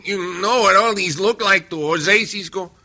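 A loyal, bumbling comic sidekick with a high, husky voice and a Mexican accent. He speaks broken English and refers to himself by name, in old-time radio western style. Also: unhurried, sharp tone, full character voice. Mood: hopeful scheming.

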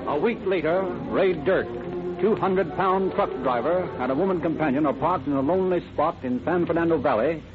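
A man's voice: on the high side, a little fast, natural voice. A man narrating a classic radio crime drama in a grave, formal announcing manner. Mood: grave, dramatic. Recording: old radio, narrow band, music behind.

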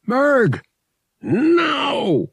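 A man voicing a brash young starship pilot in an audiobook, excitable and colloquial. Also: very high-pitched, extremely slow, dry recording, clean studio sound, pitched above the performer's own voice, full character voice. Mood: frantic, strained. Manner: urgent, colloquial, exclamatory. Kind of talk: audiobook character voice.

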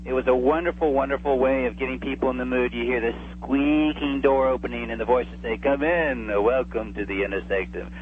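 Over a thin phone line with faint hum, a man of medium pitch talks as an interview guest. He is enthusiastic and discursive, chatting informally as he explains things. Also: brisk, hardly any pauses. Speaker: interview guest, old-time radio enthusiast. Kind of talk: casual interview chat. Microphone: telephone.

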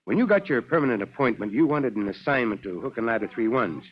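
A man's voice: mid-range voice, lively intonation, fast talker, slightly rough. An actor playing a commanding yet fatherly fire chief in a 1940s radio drama. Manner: barking orders, then fatherly. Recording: dull old radio recording.

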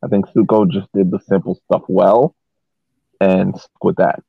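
A man talking casually as a podcast panelist analysing soccer, explaining at length in a halting way with repeated words. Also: low voice, level tone, vocal fry, slightly rough, no room sound. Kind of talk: casual match analysis discussion. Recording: thin phone-like line.

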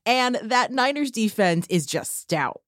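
A woman's voice: fairly high pitch, expressive, a little slow, fairly bright tone, faint music behind. A woman co-presenting a sports podcast in an upbeat, scripted way. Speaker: podcast co-host.

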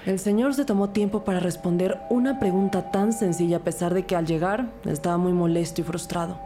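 A woman's voice: on the low side, fairly even intonation, brisk, lightly acted. An actress playing an earnest, composed police detective who narrates her case in a scripted audio drama. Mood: composed, serious.

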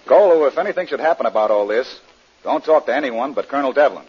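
An actor playing a confident, alert adventurer hero in a 1940s radio adventure style. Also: male voice, medium pitch, lively intonation, fast, husky. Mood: urgent. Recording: muffled vintage 1940s radio recording.

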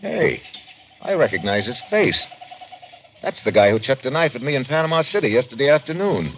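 An actor playing a tough, breezy investigator in a radio adventure, with an American accent and a casual, slangy way of talking. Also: male voice, medium pitch, very animated, brisk, lo-fi sound. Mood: matter-of-fact. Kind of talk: old-time radio adventure drama acting. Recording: noisy vintage radio broadcast recording.

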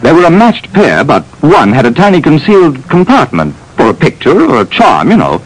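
A man's voice: a little high, huge pitch swings, brisk, breathy edge, exaggerated voice, low-quality recording. An actor playing a hesitant, genteel middle-aged businessman in an old radio drama. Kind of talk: 1940s radio acting.

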